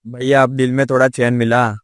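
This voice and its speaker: A man's voice: medium pitch, little pitch movement, normal speed, close to an everyday voice. A man playing a poor, humble villager in a cartoon story, speaking in an earnest, anxious way. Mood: uneasy. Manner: respectful, earnest. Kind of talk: Hindi cartoon moral-story dialogue.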